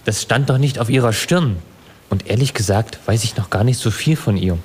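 A bewildered young sailor in love, acted by a man for an audio story. His voice is fairly low, earnest and defensive. A little noise sits behind the recording. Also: moderate pace, natural voice.

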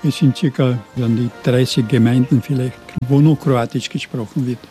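An older man from Burgenland in Austria, with a faint Croatian-tinged Austrian German accent, answering interview questions in a halting way with many restarts. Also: medium pitch, fairly even intonation, dark tone, faint music behind. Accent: Burgenland Croatian-influenced Austrian German.

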